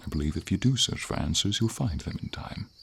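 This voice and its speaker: A man voicing a gentle, wise dragon in a fantasy ASMR roleplay, speaking formally in slow, measured phrases with thoughtful pauses. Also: exaggerated voice. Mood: encouraging, earnest. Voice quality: very deep, gravelly. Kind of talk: ASMR fantasy roleplay, scripted character acting. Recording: steady hiss of rain and insects behind. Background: light rain and night insects.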